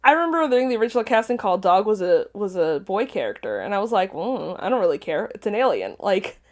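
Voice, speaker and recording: A woman chatting casually and telling stories in a playful, animated way. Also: mid-range voice, quick pace. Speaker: podcast voice actor. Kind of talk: casual group chat.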